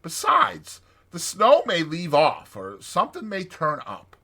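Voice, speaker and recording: A man voicing a brisk, bossy, resourceful rat in an emphatic, exclaiming way for a dramatized audiobook. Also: on the high side, wildly swooping pitch, gravelly, bright, forceful tone, slightly rough, pitched above the performer's own voice, full character voice. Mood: urgent, resolute.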